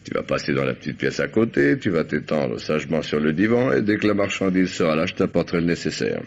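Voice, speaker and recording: An actor playing a cynical, bullying drug dealer who schemes in slangy, domineering talk, in a French radio crime drama. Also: male voice, rumbling low, lively intonation, fast talker, gravelly, a little hiss or hum, heavily acted. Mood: falsely soothing. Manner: slangy, domineering, falsely soothing. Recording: dull, lo-fi old radio recording.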